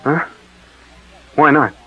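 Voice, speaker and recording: An actor playing an anxious, dogged police detective in a 1940s radio drama, asking short probing questions. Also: male voice, takes it slowly, lightly acted. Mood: probing, uneasy. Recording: muffled, rough 1940s radio recording.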